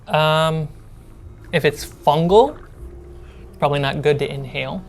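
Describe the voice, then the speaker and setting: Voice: mid-range voice, unhurried, a little hiss or hum. A game master improvising tabletop narration in a conversational, slightly hesitant way. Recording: music playing behind the voice. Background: music.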